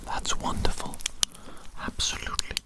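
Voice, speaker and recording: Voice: normal speed, natural voice. A performer voicing a fussy, obsessive evil doctor in an ASMR medical roleplay, whispering breathily in a repetitive, theatrical, doting way. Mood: gleeful, pleased.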